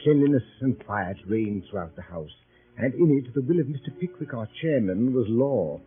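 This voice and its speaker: An actor playing a reverent English club secretary, narrating formally and orotundly in 1930s radio style. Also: male voice, medium pitch, lively intonation, moderate pace, natural voice. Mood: solemn. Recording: tinny 1930s radio broadcast.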